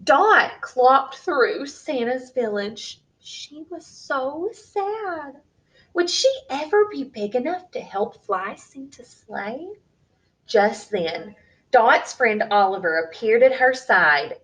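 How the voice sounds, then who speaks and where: High-voiced woman reading a children's story slowly. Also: rough recording. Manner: expressive, deliberate delivery for young children. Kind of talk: read-aloud picture book storytelling.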